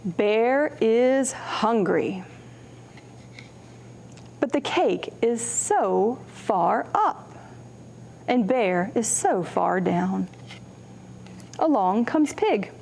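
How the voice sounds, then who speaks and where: Slow, higher-pitched woman reading to young children. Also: vocal fry, slight background noise. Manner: simple phrasing with questions to young listeners; expressive, acts out contrasts with her voice. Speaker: children's storytime host, librarian. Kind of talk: reading picture books aloud to young children.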